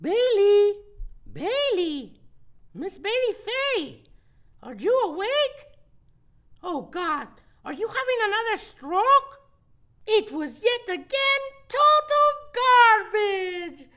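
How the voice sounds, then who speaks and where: A shrill puppet creature, scolding and mocking in a comic puppet show. The pitch is extremely high and swoops around, with words drawn out slowly. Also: female voice, big pitch swings, a bit bright, exaggerated voice. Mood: exasperated calling.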